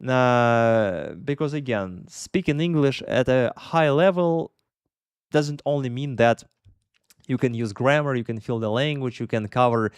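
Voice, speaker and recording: A man's voice: mid-range voice, unhurried, clean tone, dry recording. A young man with a Russian accent, chatting casually as an English teacher answering viewers' questions live, often correcting himself and laughing between points.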